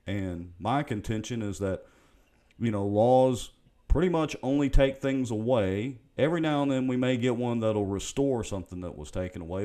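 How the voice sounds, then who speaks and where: A man with a mellow, warm tone asks casual, searching questions as a podcast host. His delivery is relaxed and conversational. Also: medium pitch.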